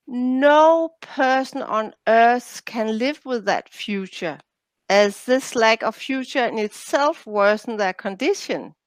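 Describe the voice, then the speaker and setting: A woman's voice: a little high, a bit bright, clean tone, dry recording. A woman from Denmark with a Danish accent, speaking English as her second language, answering interview questions carefully as an author and coach.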